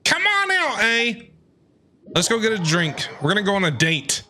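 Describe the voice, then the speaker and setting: A man's voice: sits high, huge pitch swings, moderate pace. A man reacting casually to a game as he streams, chatty and reassuring. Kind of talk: casual gameplay reaction commentary.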